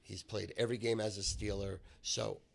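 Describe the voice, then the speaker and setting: A man's voice: lowish voice, flat delivery, normal speed, creaky. Emphatic older man talking sports betting. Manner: emphatic, repetitive. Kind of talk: casual sports talk.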